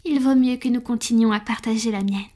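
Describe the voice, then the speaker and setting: A woman playing a tender, devoted female vampire in an intimate roleplay audio, speaking softly and affectionately, often in a breathy whisper. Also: a little high, steady tone, close to an everyday voice. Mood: tender, generous. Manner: slow, gentle, affectionate, with pauses. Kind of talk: French roleplay audio, soft intimate ASMR acting.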